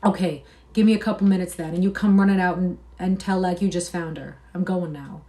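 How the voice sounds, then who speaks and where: A woman's voice: lowish voice, steady tone, a little fast, dark tone, close to an everyday voice. A woman reading aloud and voicing a weary, loyal male ranch worker in plain rural speech.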